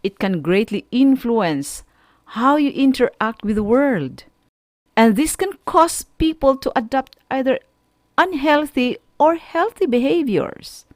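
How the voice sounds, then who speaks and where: A woman with a Filipino accent teaching a radio lesson to students in a deliberate, didactic manner, often putting questions to the listener. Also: high voice, animated, darkish tone, dry recording, clean studio sound. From Philippines.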